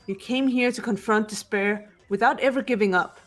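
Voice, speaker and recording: A woman voicing a cool, composed teenage girl detective in a video game, measured, serious and earnest. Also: medium pitch, faint music behind, pitched below the performer's own voice, natural voice. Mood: solemn, encouraging. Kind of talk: fan voice acting of a video game script.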